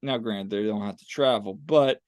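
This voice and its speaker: A man's voice: fairly low pitch, moderate pace, darkish tone, dry recording, clean studio sound. A young man co-hosting a sports podcast in a casual, rambling way, with frequent restarts and fillers. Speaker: podcast co-host.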